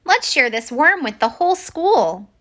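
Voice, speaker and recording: A woman voicing a tiny, friendly young minnow in a picture book, chirping with earnest excitement. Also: high voice, a little slow, pitched above the performer's own voice, heavily acted.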